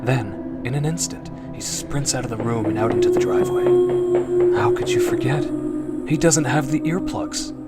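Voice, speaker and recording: A man narrating a second-person horror story in a dramatic, suspenseful and hushed way, with drawn-out emphasis. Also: fairly high pitch, huge pitch swings. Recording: music behind the voice. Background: music.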